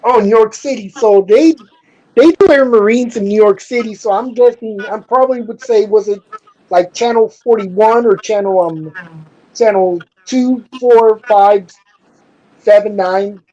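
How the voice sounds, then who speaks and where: A man's voice: high voice, unhurried, dark tone, highs cut off. A man from Houston hosting a casual stream chat, hesitant and groping for words while quizzing his guests. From Houston, Texas. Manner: hesitant, many fillers and restarts, quizzing guests. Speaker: stream host.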